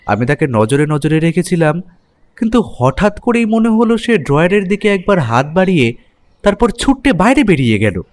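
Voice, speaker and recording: A man's voice: high-pitched, sing-song, normal speed. A man playing an earnest shop employee giving a witness account in an audio story, explaining with animation. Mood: earnest, defensive.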